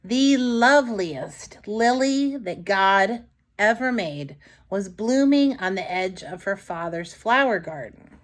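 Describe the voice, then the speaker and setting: Woman, children's storytime reader, highly varied intonation, unhurried pace, expressive read-aloud, deliberate dramatic phrasing. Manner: dramatic, deliberate storybook delivery with asides to listeners.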